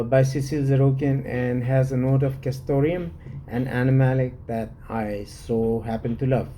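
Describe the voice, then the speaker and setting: Slow, hesitant man with a mellow voice. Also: medium pitch. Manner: slow and hesitant, many "uh" fillers. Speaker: fragrance reviewer. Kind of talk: unscripted solo review.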